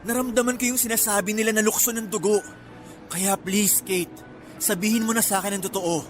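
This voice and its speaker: Radio drama actor as earnest, insistent Filipino young father, high male voice over soft music. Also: steady tone, fairly quick, lightly acted. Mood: pleading, insistent. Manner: emotional, insistent. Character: earnest young father pleading to claim his secret child. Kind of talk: radio melodrama acting.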